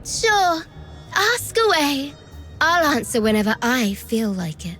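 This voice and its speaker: A gleeful young villainess taunts in a high, bright voice. She drawls each sarcastic line slowly and theatrically, with faint game music behind. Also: sing-song, heavily acted. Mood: mocking. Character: mocking treacherous young woman villain.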